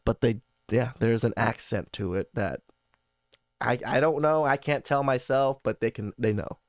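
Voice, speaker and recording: A man's voice: mid-range voice, moderate pace, no room sound. Male podcast host, casual reactor, dry close mic. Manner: laid-back, swears, laughs loudly at clips.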